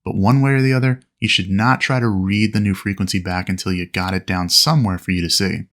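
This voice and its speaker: A man with a fairly low voice narrates as a flight instructor. He explains methodically, in an orderly teaching style suited to a flight training lesson. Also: fairly even intonation, a little fast, fairly bright tone. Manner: clear, methodical explaining with aviation radio phraseology.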